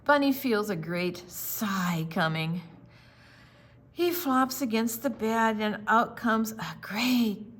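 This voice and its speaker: A woman reading a picture book aloud to young children, gentle, with dramatic pauses and drawn-out words. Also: medium pitch, husky. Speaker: storytime reader.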